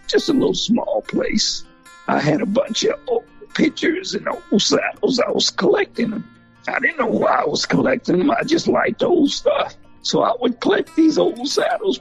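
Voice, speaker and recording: An older man with a husky, gravelly voice, telling folksy stories in an interview. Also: very high-pitched, muffled recording. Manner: folksy, animated storyteller. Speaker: museum founder and former country singer. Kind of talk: storytelling in an interview.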